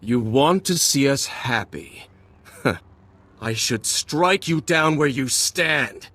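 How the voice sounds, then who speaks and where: A man's voice: medium pitch, expressive, takes it slowly, sharp tone, exaggerated voice. An actor voicing a stern, righteous middle-aged male warrior monk in a video game, speaking formally and earnestly. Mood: angry, accusing. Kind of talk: dramatic video game cutscene acting.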